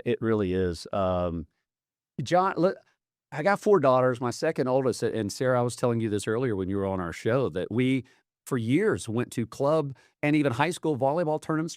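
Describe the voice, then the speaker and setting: A man's voice: medium pitch, lively intonation, a little fast, darkish tone, dry recording, clean studio sound. A man from Atlanta hosting a TV interview, asking chatty, enthusiastic questions. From Atlanta, United States. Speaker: news host.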